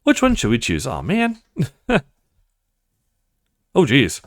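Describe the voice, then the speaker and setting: Male let's play host, mid-pitched and expressive, reading game dialogue aloud with occasional stumbles, dry recording. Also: takes it slowly, dry recording.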